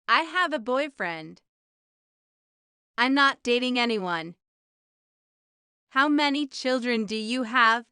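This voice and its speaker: A woman with a high, bright voice reads simple questions and answers for a beginner language lesson. She goes slowly and enunciates each short sentence carefully, as a teacher would. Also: clean tone, no echo, clean studio sound.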